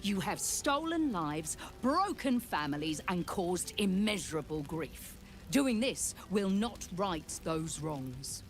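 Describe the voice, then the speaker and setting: A low, grave monster-hunter leader. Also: female voice, very animated, soft background music. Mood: solemn, resolute. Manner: formal, grave, deliberate delivery. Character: stern grieving monster-hunter leader, a woman, solemn and commanding. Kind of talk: video game dramatic acting.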